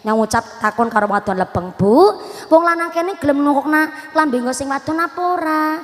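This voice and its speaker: High-voiced woman preacher with a Banyumas accent. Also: lively intonation, fairly quick, in a large hall. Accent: Banyumas Javanese. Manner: joking, teasing the crowd, switching between Javanese and Indonesian. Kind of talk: lively comic Islamic sermon.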